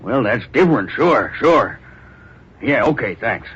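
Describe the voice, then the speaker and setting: An actor in a 1940s radio drama playing a police desk captain who speaks in rough slang. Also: male voice, mid-range voice, animated, unhurried, fairly bright tone, a little hiss or hum. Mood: gruff. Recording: dull lo-fi old radio recording.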